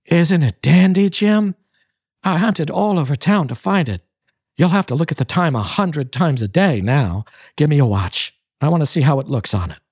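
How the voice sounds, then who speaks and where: A man voicing an eager, loving young wife in a storybook reading, in an excitable manner. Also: on the high side, moderate pace, husky, no echo, studio quality, voice pushed up. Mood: eager, excited.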